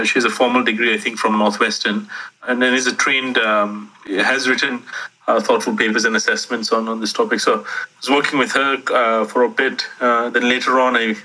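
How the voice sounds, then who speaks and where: Reflective man with a bright voice. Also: mid-range voice, rough recording. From Singapore. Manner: reflective, meandering, self-deprecating humor. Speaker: startup founder, guest. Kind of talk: interview answer, personal storytelling.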